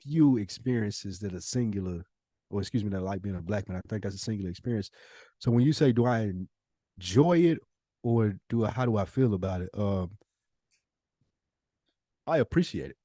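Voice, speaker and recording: A man's voice: fairly low pitch, animated, brisk, long pauses, mellow, dry recording. An American man talking casually as a podcast co-host, informal and slangy, giving his opinions freely. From United States. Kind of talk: casual conversational podcast chat.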